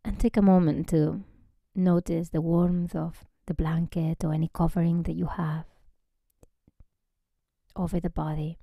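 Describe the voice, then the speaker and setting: A woman yoga teacher leading a guided relaxation meditation in a gentle, unhurried way, often softening into a breathy near-whisper. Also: on the low side, flat delivery, no echo, clean studio sound.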